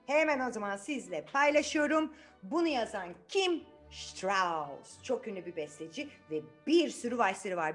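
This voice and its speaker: A woman telling a story to children in an animated, interactive way, often asking questions and repeating phrases. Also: medium pitch.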